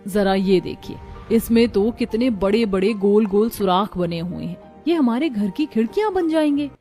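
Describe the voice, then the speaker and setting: A woman's voice: mid-range voice, expressive, normal speed, warm tone, soft background music, lightly acted. A woman voicing a household woman in an acted family cartoon story. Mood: earnest. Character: women of a cartoon family, mother-in-law and daughter-in-law.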